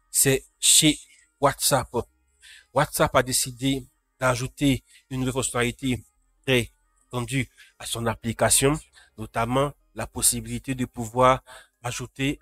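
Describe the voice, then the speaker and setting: A man's voice: mid-range voice, level tone, unhurried, a bit bright, no echo, studio quality, soft background music. A man with a cold hosting a casual live tech news roundup, greeting chat viewers by name. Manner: talks to live chat viewers, frequent restarts. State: has a cold.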